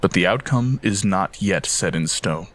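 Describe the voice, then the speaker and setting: A man playing a calm, wise and foreboding seer in a fantasy audio drama, speaking in a measured, solemn way. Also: sits low, heavily acted.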